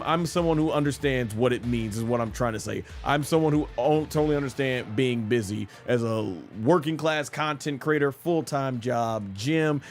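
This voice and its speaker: A man streaming a video game, chatting informally with his viewers and commenting as he plays. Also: medium pitch. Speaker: gaming streamer and content creator. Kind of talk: casual live stream commentary, reading viewer chat.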